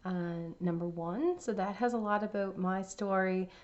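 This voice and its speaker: A middle-aged woman chats about her crafts, her voice raspy and hoarse from laryngitis. She rambles warmly, full of fillers and restarts, at a middle pitch. The recording sounds a little dull and lo-fi. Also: a little slow. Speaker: cross-stitch channel host. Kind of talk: casual chatty crafting vlog.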